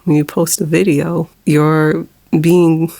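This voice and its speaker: A woman in her forties with a low, monotone voice, talking haltingly about something personal in a vlog with nervous laughs while holding back tears. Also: creaky. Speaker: vlogger.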